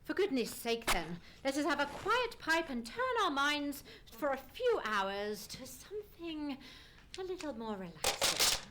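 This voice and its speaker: A commanding gentleman detective acted out by a performer in old-time radio style. The voice is high and swings widely in pitch. The diction is formal, exact and emphatic. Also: sing-song, measured pace, a little hiss or hum, full character voice. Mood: musing, confiding. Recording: live stage radio play recording.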